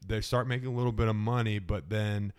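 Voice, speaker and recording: Earnest man with a smooth, low voice. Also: steady tone, brisk. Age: mid-20s. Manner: earnest, advice-giving, upbeat. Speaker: podcast host. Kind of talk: casual chat.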